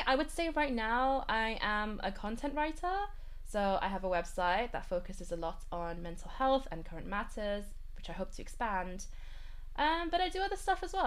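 A woman in her twenties from Birmingham, co-hosting a podcast over a video call, chatting in a bubbly way and laughing often. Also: lowish voice. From Britain, Birmingham. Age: 20s. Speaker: podcast co-host.

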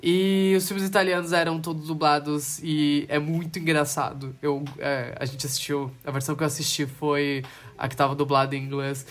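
A man's voice: fairly high pitch, little pitch movement, normal speed. A Brazilian man co-hosting a podcast, talking casually about films, laughing often and trailing off mid-sentence. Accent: Brazilian Portuguese. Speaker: podcast co-host. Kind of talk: casual film chat.

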